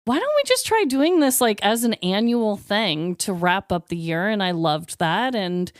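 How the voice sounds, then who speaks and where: Animated woman podcast co-host, dry close sound. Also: medium pitch, moderate pace, no room sound. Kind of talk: casual podcast chat.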